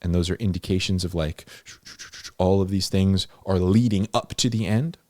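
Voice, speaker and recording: A man's voice: sits low, normal speed, a little mellow, no echo, clean studio sound. A man giving informal, unscripted Bible teaching in a conversational, emphatic way, repeating key phrases for stress. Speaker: host of a Bible-explainer series, teacher.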